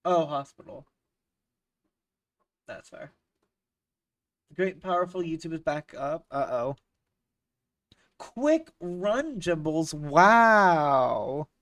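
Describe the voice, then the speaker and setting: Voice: mid-range voice, lively intonation, extremely slow, long pauses, fairly bright tone, no echo, far from the mic. Casting note: sniffly, cold-stricken game streamer, crude and playful with chat, mid-range voice, recorded a little off-mic. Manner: profane, joking, laughs often, reads chat aloud. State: getting over a cold, sniffly. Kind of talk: casual live game-stream chat with viewers.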